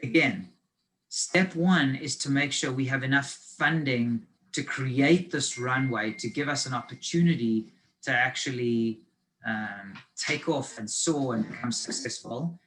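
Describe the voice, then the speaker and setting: South African male presenter, mid-pitched, easygoing explainer with ums and restarts, webinar over a video call. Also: a little slow, a bit bright, slight background noise, poor audio. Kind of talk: live online business finance presentation.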